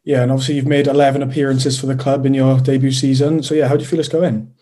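Male interview host, warm tone, plain scripted questions. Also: mid-range voice, level tone, quick pace.

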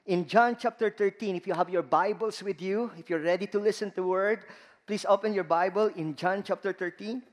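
A man with a Filipino accent preaching a sermon to a church congregation, slow and emphatic, stressing key words. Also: sits high. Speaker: guest preacher. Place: large church hall. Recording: long reverb of a church hall.

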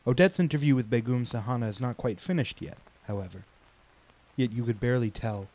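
A man acting as the narrator of a radio play, reading scripted dramatic narration in a deliberate, grave way. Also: medium pitch, a little mellow, natural voice, rough recording. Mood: solemn. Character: solemn male storyteller narrating a radio play.

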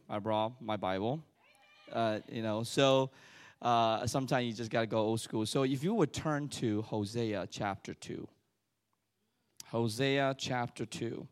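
Taiwan-born man, halting conversational sermon. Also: medium pitch, clear, resonant tone. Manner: conversational, halting with frequent restarts and fillers. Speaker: church elder. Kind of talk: sermon testimony.

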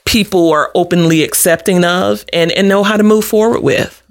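A woman's voice: fairly low pitch, moderate pace, dry recording, studio quality. A middle-aged woman answering interview questions as an advocate, explaining things earnestly in a conversational way. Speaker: guest, domestic abuse advocate.

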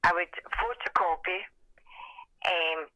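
An older Scottish woman talks over the phone, her voice hoarse but bright and a little tight. The sound is dry and close, with no room around it. She chats in a self-deprecating, meandering way. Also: lowish voice, takes it slowly, no room sound, rough recording. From Scotland. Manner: chatty, self-deprecating reminiscing. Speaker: interviewee. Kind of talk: oral history interview.

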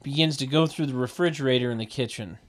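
Deadpan man reading stage directions, close dry sound. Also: medium pitch, fast, no room sound. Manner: deadpan, mocking asides. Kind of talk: sardonic table read with mock commentary.

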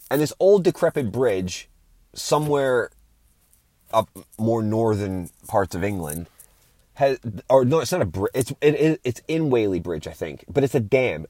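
A man with a fairly low voice hosts a podcast solo. He talks in an informal, opinionated rant, swearing casually as he airs his grievances. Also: darkish tone, no room sound. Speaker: podcast host.

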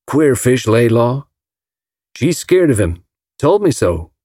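A man voicing a folksy, lovestruck rich gentleman from Alabama with a drawling Southern American accent, in an audiobook. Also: mid-range voice, big pitch swings, takes it slowly, breathy edge, dry recording, studio quality, heavily acted. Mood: confiding.

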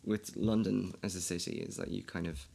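A man's voice: low-pitched, fairly even intonation, normal speed, gravelly, dark tone. A man with an Irish Kildare accent hosting a casual, chatty conversation, laughing often and swearing freely. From Ireland. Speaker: podcast host. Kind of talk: casual chat.

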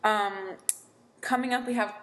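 A woman's voice: on the high side, steady tone, measured pace, fairly bright tone, roomy sound. A woman co-hosting a casual book podcast, chatty and rambling, laughing easily as she talks. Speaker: podcast co-host.

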